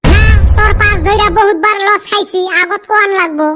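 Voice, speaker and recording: A man's voice: very high voice, fairly quick, heavy background noise. A man voicing a grumbling village man in a cartoon, speaking a northern Bengal village dialect and cursing often. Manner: cursing, complaining, lively rural banter. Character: squeaky-voiced village man, grumbling and quick to curse. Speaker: cartoon character.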